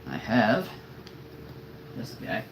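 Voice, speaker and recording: Slow-talking man with a very deep voice. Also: wildly swooping pitch, gravelly, background noise. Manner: chatty and rambling, laughs often. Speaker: toy collector and channel host. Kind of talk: casual live-stream chat.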